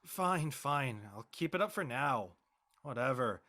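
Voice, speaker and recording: Exasperated teenage boy, played by a man, lively pitch. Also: unhurried. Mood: resigned. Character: exasperated, reluctant, clumsy high-school boy gone viral. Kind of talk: amateur audio drama table read.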